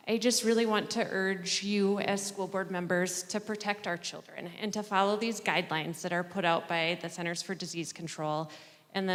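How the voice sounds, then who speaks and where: A mother reading a prepared statement at a school board public comment, earnest and measured. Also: fairly low pitch, a bit bright. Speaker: parent speaking at public comment. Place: school board meeting hall. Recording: long reverb in a large hall.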